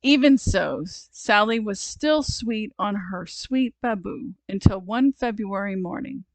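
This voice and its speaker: A woman reads a children's story aloud in an expressive, deliberate way. She takes her time, speaking very slowly. The recording is dry and close, with no room sound. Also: mid-range voice, dry recording, low-quality recording. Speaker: storybook reader.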